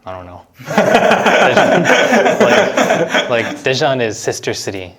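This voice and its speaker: A young man from the United States chatting casually in an interview, relaxed and often laughing as he talks. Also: medium pitch, slow, crowd noise. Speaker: interviewee, university student.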